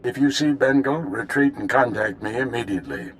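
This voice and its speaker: A man's voice: medium pitch, big pitch swings, a little fast, slight background noise, low-quality recording. An actor playing a seasoned warrior-monk mentor in a video game, businesslike and authoritative as he gives orders. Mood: grave, commanding. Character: seasoned, steady Jedi master mentor giving orders. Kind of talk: video game drama acting.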